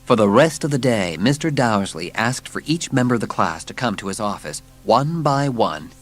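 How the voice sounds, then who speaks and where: Woman narrating over clear background music. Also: extremely low pitch, expressive, normal speed. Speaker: audiobook narrator. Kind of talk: scripted dramatic children's story narration.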